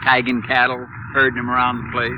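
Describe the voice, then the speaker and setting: A man's voice: mid-range voice, completely flat delivery, brisk, close to an everyday voice. A man playing a folksy, talkative small-town Texas sheriff in a vintage radio drama. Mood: plain, informative. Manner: folksy, conversational. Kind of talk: 1950s radio drama acting. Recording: noisy old radio drama recording.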